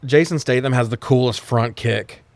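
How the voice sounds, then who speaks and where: A man's voice: medium pitch, moderate pace, no room sound. A man hosting a podcast, recapping a film in a casual, comedic way with plenty of swearing and sarcastic riffing.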